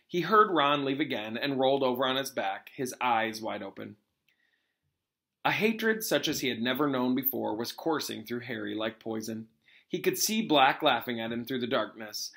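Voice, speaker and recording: A man's voice: medium pitch, creaky, a bit bright. A man reading a novel aloud as a teacher, expressively, with informal explanatory asides.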